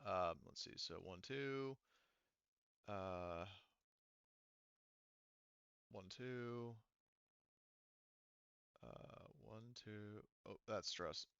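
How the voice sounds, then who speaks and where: A man's voice: lowish voice, drawn-out words, vocal fry, pauses often, fairly bright tone, smooth, dry recording, highs cut off. A man acting as game master of a tabletop roleplaying game, thinking aloud hesitantly as he works through the rules.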